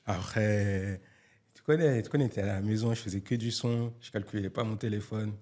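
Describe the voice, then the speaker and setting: Very low voiced young guy, producer guest, slangy and chill. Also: normal speed, dark tone. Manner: laid-back slangy talk. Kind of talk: casual chat.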